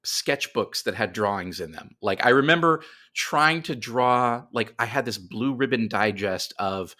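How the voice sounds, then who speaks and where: A man's voice: mid-range voice, a little slow, sharp tone. A middle-aged man telling stories casually as a guest in a podcast interview.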